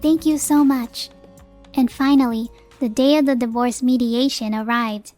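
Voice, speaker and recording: A woman's voice: on the high side, fairly even intonation, a little slow, darkish tone, close to an everyday voice. A resolute young mother played by a woman narrates her story in earnest, dramatic manga dub style. She sounds like a wronged wife holding firm, with faint music underneath. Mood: composed. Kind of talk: scripted first-person narration, manga dub.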